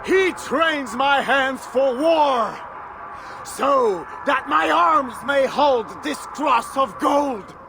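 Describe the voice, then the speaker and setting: A man's voice: very high voice, drawn-out words, vocal fry, over music, heavily acted. An actor in a video game cutscene playing a fervent, wrathful Frankish warrior bishop who declaims like a man at prayer. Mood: defiant zeal. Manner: declamatory, grandiose, prayer-like delivery. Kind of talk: dramatic game cutscene acting.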